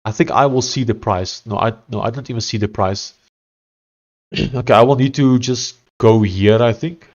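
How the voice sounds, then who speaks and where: A South African man with a thick Afrikaans accent talks casually to his live stream viewers while coding. He thinks aloud, stumbling through fillers and restarting sentences. His voice sits at an ordinary middle pitch. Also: moderate pace, dull audio. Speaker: live-streaming software developer.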